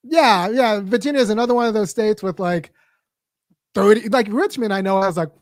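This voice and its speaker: A woman hosting a casual political chat, talking fast and animated and often laughing. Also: mid-range voice, dry recording, studio quality. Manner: animated, laughing, acts out quotes. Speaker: podcast host.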